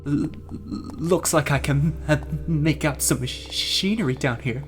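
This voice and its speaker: An actor playing a fussy, hesitant male client in an audio drama, speaking haltingly. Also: fairly high pitch, soft background music. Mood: uneasy.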